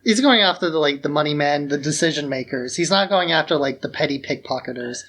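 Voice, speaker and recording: A man's voice: on the high side, fast, a bit bright. A man co-hosting a podcast, talking about film in a casual, informal way peppered with "like". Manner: informal, frequent filler "like". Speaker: podcast co-host. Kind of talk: casual conversational film discussion.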